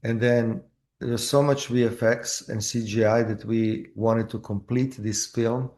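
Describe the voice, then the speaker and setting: A man with a Croatian accent, an actor telling his story in a casual interview, halting with many fillers and restarts. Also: medium pitch, steady tone, darkish tone. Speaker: actor guest.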